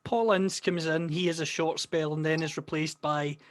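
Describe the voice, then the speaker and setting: A Scottish man hosts an interview, leading into each question with a long setup. His voice sits a little high. The sound is dry, with no room echo. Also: steady tone, normal speed. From Scotland. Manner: long, carefully set-up questions. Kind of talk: podcast interview.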